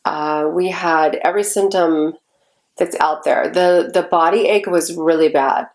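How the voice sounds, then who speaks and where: Congested woman in her 40s rambling to her camera, slightly low voice, lots of ums. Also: fairly even intonation, a little slow. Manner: chatty and rambling, many ums. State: congested, stuffy nose. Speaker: vlogger. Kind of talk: casual chat to camera.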